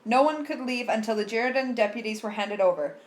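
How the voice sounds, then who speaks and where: A woman's voice: a little high, fairly quick. A woman reading scripted history narration as the narrator of a puppet show.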